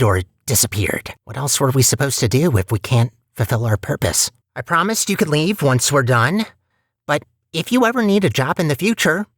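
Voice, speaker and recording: A man's voice: medium pitch, a bit bright, no room sound. Video game robot companion, acted by a man: talkative, nervy test-chamber guide, swooping intonation, very dry close sound. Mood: wistful, bitter. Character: chatty, anxious male robot personality core running test chambers. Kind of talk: animated video game voice acting.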